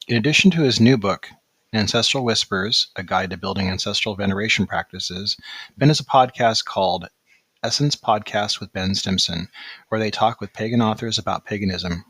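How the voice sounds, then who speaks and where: A man hosting a podcast, reading a measured introduction of his guest and then asking questions. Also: lowish voice, a bit bright, breathy edge, no echo. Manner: measured, reads intro from script. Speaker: podcast host.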